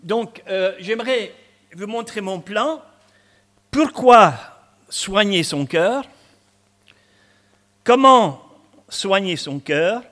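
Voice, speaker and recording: A man's voice: sits high, animated, extremely slow, long pauses, a bit bright. A man in his sixties with a Scottish accent, preaching a chatty sermon sprinkled with asides and humor. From Scotland. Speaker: pastor.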